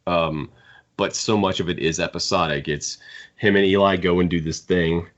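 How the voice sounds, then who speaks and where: A man's voice: deep voice, fairly even intonation, normal speed, dull audio. A man co-hosting a book podcast, talking casually and analytically as he gives his opinions. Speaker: podcast co-host discussing books.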